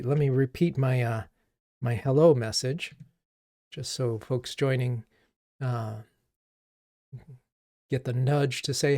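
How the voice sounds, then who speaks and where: A man from Japan hosting a coding livestream as a coach, chatting casually and haltingly as he thinks aloud. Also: on the high side, mellow, no room sound, clean studio sound.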